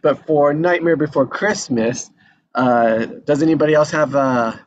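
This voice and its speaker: A man's voice: fairly high pitch, measured pace. A man hosting a casual group discussion, handing turns to the others. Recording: muffled video call with clear background noise.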